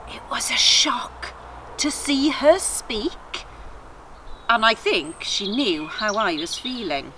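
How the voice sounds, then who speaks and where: A woman's voice: on the high side, very animated, takes it slowly, bright, forceful tone. A woman reading children's stories outdoors in a garden, chatting informally and narrating expressively. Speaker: children's story reader. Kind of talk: friendly chat and expressive storybook narration. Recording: faint outdoor garden noise.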